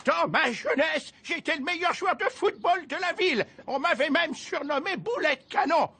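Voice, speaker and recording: A man's voice: extremely high pitch, expressive, moderate pace, sharp tone, slight background noise, exaggerated voice. An actor playing a doddering old man in a cartoon, rambling nostalgically about his youth. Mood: nostalgic, rambling. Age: older. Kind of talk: French animated cartoon acting.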